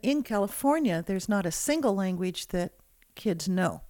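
A woman's voice: medium pitch, expressive, moderate pace, warm tone, dry recording, clean studio sound. A woman linguistics professor explaining things in an articulate, matter-of-fact interview.

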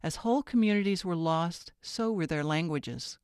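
A woman hosting a radio feature, reading scripted narration in a measured, clear way. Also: lowish voice, a little mellow, no room sound, clean studio sound.

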